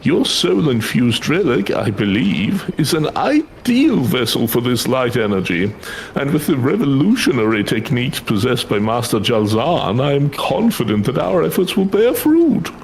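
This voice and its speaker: Man acting an excitable scholar with swooping pitch. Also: moderate pace, gravelly, a little hiss or hum. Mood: earnest. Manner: theatrical, flustered, archaic formal phrasing. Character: excitable, frustrated scholar obsessed with his research, flowery formal speech. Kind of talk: fan read-aloud of fantasy game dialogue.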